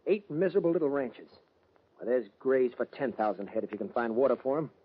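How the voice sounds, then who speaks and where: A man's voice: mid-range voice, animated, fairly quick, natural voice. Man playing resolute young cowboy, muffled sound. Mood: determined. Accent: American. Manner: plain-spoken, resolute. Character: stubborn young cattleman, earnest and defiant. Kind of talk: old-time radio western acting. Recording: dull, noisy vintage radio recording.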